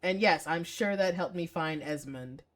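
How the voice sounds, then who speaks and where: A brooding young man from a visual novel, read by a woman, slightly low in pitch. He is lovelorn and bitter, with wistful, sardonic self-pity. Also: moderate pace, lightly acted.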